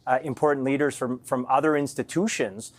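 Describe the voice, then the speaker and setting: A man's voice: mid-range voice, fairly even intonation, brisk. A man giving conversational political commentary on a live TV panel.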